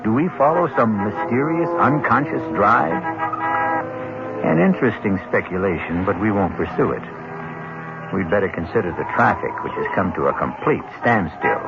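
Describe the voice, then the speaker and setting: Brief: male host, lowish voice, measured menace, muffled vintage radio tone. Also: lively intonation. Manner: measured, ominous storytelling. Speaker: host and narrator. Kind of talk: 1970s radio drama narration. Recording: dull old radio broadcast with loud music.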